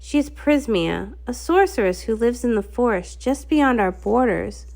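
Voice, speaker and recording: A woman's voice: fairly high pitch, expressive, moderate pace, creaky, darkish tone. A woman voicing a protective, caring adult village pony in a storybook, speaking in an earnest, explanatory manner. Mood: grave worry.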